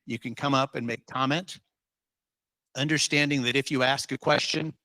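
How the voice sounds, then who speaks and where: Bright-voiced official running a public meeting. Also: male voice, medium pitch, fairly even intonation, normal speed, no room sound, low-quality recording. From Utah. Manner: informal, rambling, with many ums. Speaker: state division official leading a public meeting.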